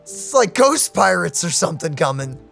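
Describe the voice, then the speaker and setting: A woman casually reacting to a video game as a streamer, expressive and incredulous. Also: lowish voice, normal speed, gravelly, soft background music.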